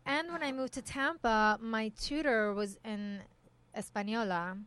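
A woman's voice: fairly high pitch, unhurried, a bit bright, smooth. A woman from Iran, in her thirties or forties, with a slight hard-to-place foreign accent, chatting informally as an interview guest. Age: 30s or 40s. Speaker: podcast guest. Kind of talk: casual conversational interview.

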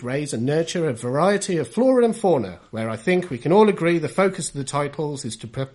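A British man hosts a jokey gaming podcast. His voice sits a little high for a man, and he speaks in a wordy, mock-formal style with his tongue in his cheek. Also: darkish tone. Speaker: podcast host. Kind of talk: jokey scripted gaming podcast, reading letters.

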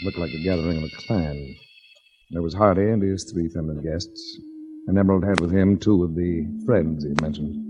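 A man's voice: low voice, brisk, highs cut off, over music, natural voice. An actor playing a tough, wry investigator hero in 1940s radio drama, dry and clipped as he narrates and talks. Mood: tense narration. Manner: dry, clipped, hard-boiled. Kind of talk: 1940s radio detective drama, hard-boiled narration and dialogue.

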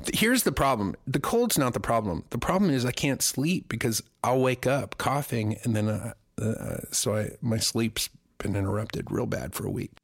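Gravelly man with a lingering cold. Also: medium pitch, animated, moderate pace, hardly any pauses, dry recording, studio quality. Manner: crude, rambling joking. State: getting over a cold, coughing. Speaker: podcast co-host, singer. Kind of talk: casual podcast banter.

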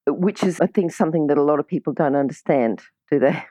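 Lowish-voiced woman hosting a chat. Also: fast talker, warm tone, no room sound, studio quality. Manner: chatty, interjecting agreement. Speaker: podcast interview host. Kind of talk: casual, conversational interviewing.

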